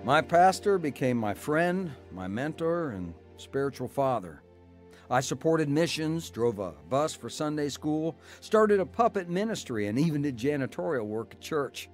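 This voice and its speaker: A man's voice: on the high side, sing-song, moderate pace, faint music behind. A man acting an earnest, devout husband who tells his own story in a radio drama. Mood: earnest, reflective. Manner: earnest, heartfelt. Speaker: narrator and lead actor. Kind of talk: radio drama acting and first-person narration.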